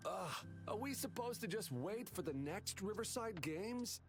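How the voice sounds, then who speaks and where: A man's voice: high-pitched, lively intonation, a little slow. A man playing an easily flustered, whiny young relay racer in a video game. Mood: dejected. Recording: music playing behind the voice.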